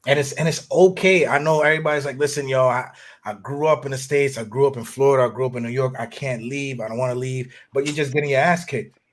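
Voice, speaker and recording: A man's voice: mid-range voice, steady tone, quick pace. Laid-back male live stream host.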